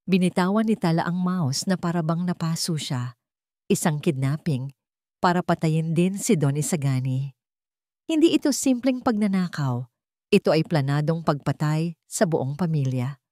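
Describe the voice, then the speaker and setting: A woman's voice: sits low, dark tone, dry recording, clean studio sound. A woman with a Filipino accent reading a scripted dramatic story as its narrator.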